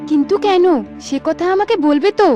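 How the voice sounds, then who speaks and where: A woman voicing a gentle, earnest young sea maiden in a fairy-tale cartoon. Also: very high-pitched, fairly quick. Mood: hurt. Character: gentle young female sea being, pearl-bearing, loyal friend. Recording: faint music under the voice.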